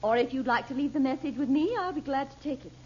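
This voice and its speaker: An actress playing a firm, measured mother of two teenagers in an old radio drama. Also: high voice, lively intonation, a little mellow, a little hiss or hum. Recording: dull old radio recording.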